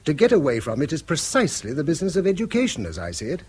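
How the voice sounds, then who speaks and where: A man's voice: a little high, wildly swooping pitch, racing, a little hiss or hum. An actor playing an earnest, severe middle-aged English father who moralises formally and is easily exasperated, in a British radio drama. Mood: stern, indignant.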